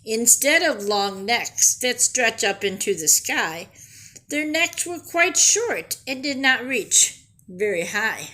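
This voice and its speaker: A woman reading a picture book aloud to young children in an expressive, deliberate way, pausing to ask simple questions and explain things. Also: on the high side, rough recording. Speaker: children's storyteller and teacher.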